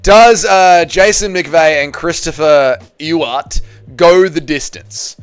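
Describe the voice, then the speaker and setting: He is a man in his thirties with a fairly high, very clear voice. He talks like a streamer among mates, casual and blokey, often saying the same line again. There is music behind him. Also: slow. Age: 30s. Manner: blokey, crude joking, repeats himself, addresses viewers as boys. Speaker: livestream host. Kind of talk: casual livestream chat about MMA, reading out viewer comments.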